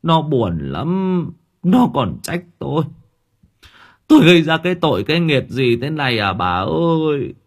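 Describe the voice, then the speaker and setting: Man acting a distraught husky middle-aged father. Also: a little high, big pitch swings, measured pace, voice pushed up. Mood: grieving, weeping. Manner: stammering, tearful lament. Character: terrified, grief-stricken middle-aged father, pleading. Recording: background music under the voice.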